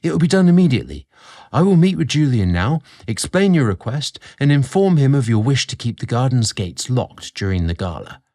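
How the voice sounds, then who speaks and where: A man's voice: mid-range voice, very animated, fairly quick, dark tone, pitched above the performer's own voice. A man voicing a young butler in an audio story, dutiful, deferential and eager to please. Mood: eager, obedient.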